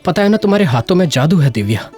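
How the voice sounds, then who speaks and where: A man voicing an affectionate, easygoing young husband from a poor household in an animated moral story, speaking tenderly and earnestly. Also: on the high side, fast talker, soft background music. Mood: affectionate, teasing.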